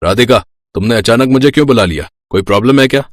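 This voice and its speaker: A man's voice: mid-range voice, fast, slight background noise, natural voice. A man voicing a polite, concerned young brother in a cartoon.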